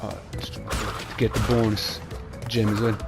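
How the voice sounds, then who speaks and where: A young Irish man chatting quickly and rambling while he plays through a platform game. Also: on the low side, level tone. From Ireland. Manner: fast, rambling, chatty with Irish phrasing like "class". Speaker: gaming channel host. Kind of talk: casual gameplay commentary. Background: game music.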